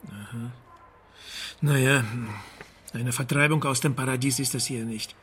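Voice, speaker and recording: A sardonic private detective played by a male actor in a radio drama, middle-pitched and deadpan. He talks with dry irony and keeps unflappable, over faint background noise. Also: unhurried, close to an everyday voice. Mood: businesslike. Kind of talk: German radio drama dialogue and narration.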